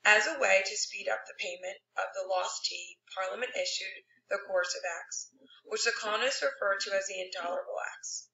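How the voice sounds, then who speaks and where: A woman's voice: mid-range voice, normal speed, pushed and bright. A woman narrating a scripted lesson text as a read-along. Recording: roomy, dull, distant, faint hum.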